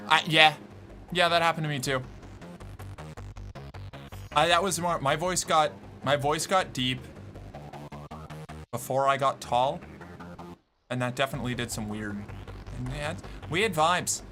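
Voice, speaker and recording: A man's voice: on the high side, measured pace, long pauses, bright, forceful tone. Profane, reactive gamer man. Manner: reactive, profane, talking to himself. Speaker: streamer. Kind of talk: gameplay commentary. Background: game music.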